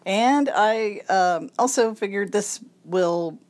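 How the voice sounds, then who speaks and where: A woman reads a magazine piece aloud in a raspy voice, thickened by congestion. She takes it slowly and carefully, shaping each word clearly for listeners who cannot read the page. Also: mid-range voice, darkish tone. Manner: slow, careful enunciation. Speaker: volunteer reader of a local magazine. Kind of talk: reading a magazine article aloud.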